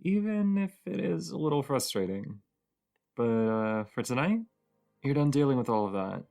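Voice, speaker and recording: A woman's voice: lively intonation, moderate pace, mellow, heavily acted. A woman playing a cozy, teasing young roommate with a shy crush in an intimate audio roleplay, her voice sleepy and grumbly. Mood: teasing, reassuring. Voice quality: sleepy, grumbly low voice with creak.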